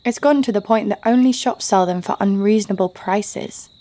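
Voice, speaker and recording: A woman's voice: medium pitch, brisk, mellow, dry recording, close to an everyday voice. A woman playing a sassy, snarky heroine who narrates an audio drama story over faint music. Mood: hushed recounting. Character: sassy, snarky female sidekick-owning storyteller, fond but teasing. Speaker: narrator and lead. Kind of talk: scripted first-person story narration.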